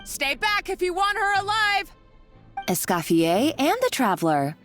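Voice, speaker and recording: An actress playing a scornful, scheming villainess in a video game, with mocking, taunting delivery. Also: female voice, extremely high pitch, wildly swooping pitch, measured pace, a bit bright, faint music behind, full character voice. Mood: menacing. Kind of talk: theatrical video game voice acting.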